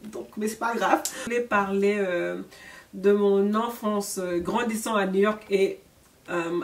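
A woman's voice: medium pitch, far from the mic. A young woman from Senegal chatting candidly in a storytime vlog, laughing often and slipping English words in. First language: French. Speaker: YouTuber. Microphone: camera at chest-up framing.

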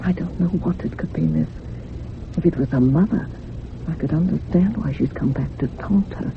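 An actress playing a troubled, gossipy older housekeeper in a radio thriller, rambling dramatically and sometimes dropping to a hush. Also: female voice, low voice, moderate pace, creaky. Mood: uneasy, guarded. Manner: rambling, dramatic, hushed at moments. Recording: muffled phone-like radio recording with hiss.

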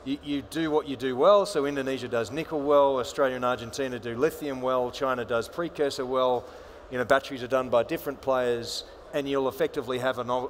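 A man makes his case on a conference panel. His voice sits at a middle pitch and he argues firmly, restarting a word now and then, over a faint hum from the hall. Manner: fluent, assertive, with small restarts. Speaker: panelist, banker. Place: large conference stage with audience.

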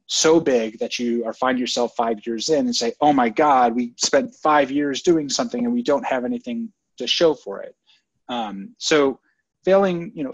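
A man's voice: medium pitch, breathy edge, no echo. A man answering interview questions in a thoughtful, conversational way, with frequent ums and restarts. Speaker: guest, former government technology leader. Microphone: online call audio. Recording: dull, dry lo-fi online audio.

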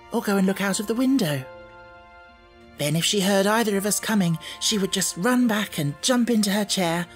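A man voicing a polite, imaginative little girl in an audiobook, gentle and earnest in manner. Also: sits high, voice pushed up, close to an everyday voice. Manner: gentle, appreciative, precise. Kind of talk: audiobook character voice.

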